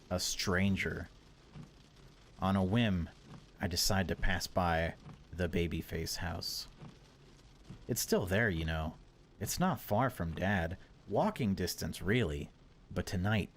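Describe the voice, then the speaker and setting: A man narrating a horror visual novel in a measured, unhurried way, with casual asides as a gaming video host. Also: low voice, a little hiss or hum.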